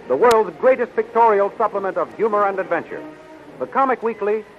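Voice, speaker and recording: A man with an American accent narrating a 1930s radio serial in a dramatic, declamatory way. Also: high-pitched. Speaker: announcer and narrator. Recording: muffled, hissy 1930s radio recording.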